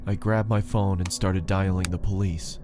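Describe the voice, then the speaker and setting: A man's voice: deep voice, flat delivery, moderate pace, background noise. A man reading a scripted first-person horror story as its narrator.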